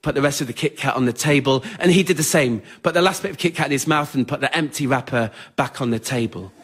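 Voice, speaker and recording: A young man with an English accent performs scripted autobiographical stories on stage in a wry, understated, measured way. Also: mid-range voice, husky. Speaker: writer-performer of a solo spoken-word show. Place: radio theatre in front of a live audience.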